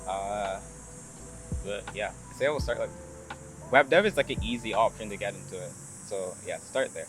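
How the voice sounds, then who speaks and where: A young man from Barbados with a fairly low voice talks casually as an interview guest. His phrasing is informal and hedging, and music plays clearly behind him. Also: normal speed, low-quality recording. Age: young adult. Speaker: interview guest, computer science student. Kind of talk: casual chat.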